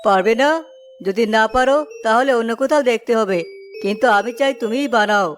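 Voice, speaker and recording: Woman as a commanding, well-off cartoon dad, high register, light music behind. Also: brisk, close to an everyday voice. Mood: commanding, approving. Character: wealthy, commanding father ordering food. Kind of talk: cartoon story dialogue.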